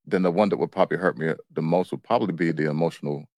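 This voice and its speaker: A man's voice: sits low, very fast, a little mellow, dry recording, studio quality. A man co-hosting a casual, unscripted relationship chat, talking in a colloquial, matter-of-fact way. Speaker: co-host of a couples' relationship show.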